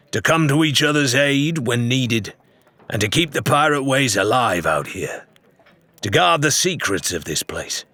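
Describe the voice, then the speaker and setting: A man's voice: mid-range voice, pushed and bright, no room sound, pitched above the performer's own voice, exaggerated voice. A man voicing an ambitious pirate leader in an audiobook, persuasive and commanding, addressing followers like an orator.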